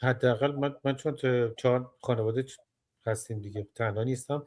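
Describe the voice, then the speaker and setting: A man from Iran answering as an interview guest, speaking quietly and hesitantly, trailing off. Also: mid-range voice, steady tone, rapid-fire.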